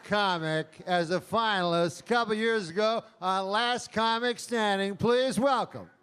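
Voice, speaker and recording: A man's voice: sits high, measured pace, fairly bright tone. A man speaking as a comedy show emcee, introducing a performer to a live audience. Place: comedy club stage. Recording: live club, audience noise.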